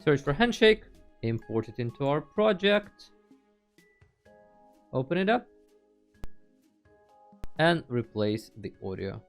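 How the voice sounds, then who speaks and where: Male tutorial host, animated mid-range voice, casual and playful delivery, faint music underneath. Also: mid-range voice, slow, long pauses. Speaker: host of a video game tutorial channel. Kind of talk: casual tutorial screen narration.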